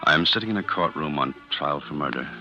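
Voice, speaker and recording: Very deep-voiced brooding ex-convict. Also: male voice, lively intonation, quick pace, music in the background. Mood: brooding, confiding. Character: bitter, brooding male ex-convict turned clerk. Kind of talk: 1940s radio drama acting, confiding first-person narration. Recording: muffled old radio recording.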